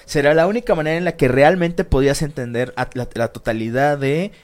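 A man's voice: a little high, fairly quick. A man co-hosting a casual chat, slangy and full of swearing and fillers.

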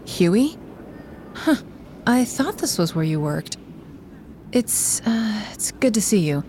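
A woman's voice: medium pitch, sing-song, slow pace, dark tone, a little hiss or hum. An actress playing a reserved, awkwardly polite young woman in a naturalistic audio drama. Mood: awkward, subdued.

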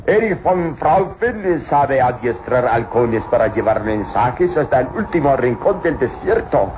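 An actor playing a cruel, arrogant villain in an old radio serial, mocking and gloating with theatrical exclamations. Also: male voice, mid-range voice, big pitch swings, measured pace. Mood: gloating menace. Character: sneering, cruel male villain, arrogant tomb-robbing master of slaves. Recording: muffled, noisy old radio drama recording.

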